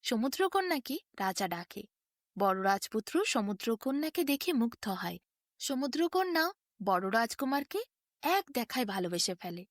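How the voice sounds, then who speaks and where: A woman's voice: on the high side, very animated, normal speed, no room sound, studio quality. A woman narrating a bedtime fairy tale in a sing-song storytelling manner. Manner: sing-song storytelling, quoting figures in her own voice.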